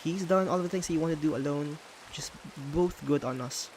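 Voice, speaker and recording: Man, Filipino accent, native Tagalog speaker, streamer, casual game commentary, a bit higher voice, searches for words, faint hiss behind. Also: darkish tone. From Philippines. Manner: thinks aloud, pauses to hunt for English words.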